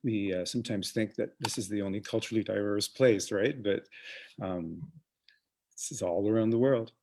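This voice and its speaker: A man who was raised in Uganda co-hosts a relaxed online arts program. His voice sits a little low, and he talks loosely, trailing off with plenty of fillers. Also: normal speed, creaky. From Congo, raised in Uganda. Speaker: co-host, museum programmer. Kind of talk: casual live-stream chat.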